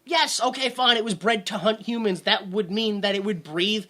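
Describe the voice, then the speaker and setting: Podcast co-host, bright voice, casual movie-review chat, rambling, sarcastic. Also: medium pitch, moderate pace. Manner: rambling, self-interrupting, sarcastic.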